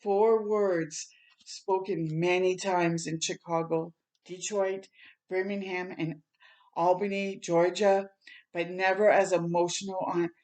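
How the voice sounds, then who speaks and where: A woman's voice: fairly low pitch, little pitch movement, takes it slowly, darkish tone. A woman reading a picture book aloud for children as a storytime host, in a dramatic, heartfelt and emphatic manner.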